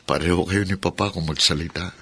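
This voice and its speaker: A man's voice: low voice, fairly quick, creaky, a bit bright, slightly rough, exaggerated voice. An actor playing an earnest, burdened husband and protective father in a Filipino radio drama, with a pleading manner. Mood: subdued, apologetic.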